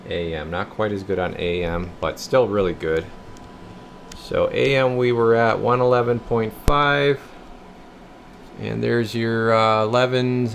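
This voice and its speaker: Gravelly man talking through a bench demo. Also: lowish voice, a little hiss or hum. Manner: informal, folksy, muttering to himself while working. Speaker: repair technician presenting a bench test video. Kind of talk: casual hands-on demonstration. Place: indoor radio repair workshop.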